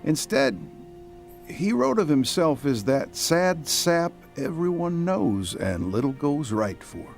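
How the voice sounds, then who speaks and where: A man's voice: a little high, very animated, slow, darkish tone, faint music behind. A man hosting and narrating an audio drama series in a scripted style. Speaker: host and narrator.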